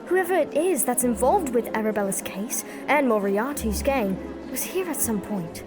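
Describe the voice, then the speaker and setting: A woman's voice: a little high, lively intonation, normal speed, music in the background, natural voice. A woman acting a plucky, curious young girl in a workhouse for an audio drama. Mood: curious, determined.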